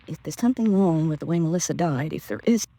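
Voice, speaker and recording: A woman's voice: low voice, animated, fast talker, mellow, no room sound. An actress playing a bitter, resentful woman in a 1950s radio crime drama, talking in terse, clipped colloquial phrases. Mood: accusing. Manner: terse, clipped working-class colloquial talk. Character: bitter, resentful woman blaming her neglectful sister.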